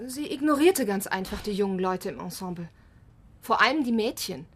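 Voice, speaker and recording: An actress playing a chatty young understudy actress in a German radio drama, gushing and a bit nervous. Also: female voice, medium pitch, lively intonation, normal speed, husky. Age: young adult.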